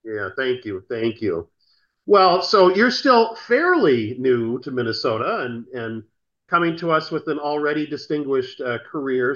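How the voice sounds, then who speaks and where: A man hosting a podcast interview, talking in a halting way with many restarts. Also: fairly high pitch, a bit bright. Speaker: podcast host. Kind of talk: interview conversation. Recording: dull lo-fi sound.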